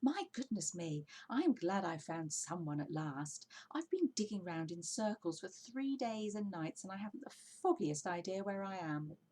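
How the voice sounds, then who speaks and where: A woman voicing an excitable, fretful, desperate male badger in a children's storybook. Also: mid-range voice, normal speed, few pauses, lightly acted. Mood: relieved, excited. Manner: exclamatory, fretful.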